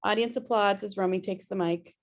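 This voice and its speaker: A woman's voice: mid-range voice, fairly quick. A woman reading screenplay stage directions as scripted narration on a video-call table read.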